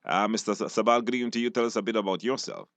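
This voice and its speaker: Low-voiced Belizean man hosting radio. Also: steady tone, rapid-fire, a bit bright, no echo. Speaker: radio call-in show host. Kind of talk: casual live studio hosting. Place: radio studio.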